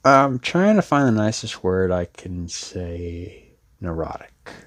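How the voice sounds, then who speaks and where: Casting: male actor for a deep-voiced, laid-back young male rebel, self-deprecating and wry, rambling delivery, roleplay audio drama. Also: very animated, slow pace, exaggerated voice. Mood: teasing, rambling. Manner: rambling, wry, sardonic, casual.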